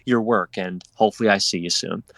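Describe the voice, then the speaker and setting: A man's voice: lowish voice, brisk, gravelly, fairly bright tone, no echo. A man speaking casually as a panel guest, effusive and encouraging, addressing listeners directly.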